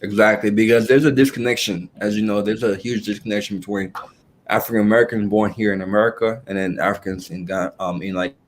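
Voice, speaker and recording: A young man with a somewhat low voice, speaking as a guest in a relaxed interview. He talks in halting stretches, full of fillers and restarts, as he works out what he means. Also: slight background noise. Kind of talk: casual conversational interview.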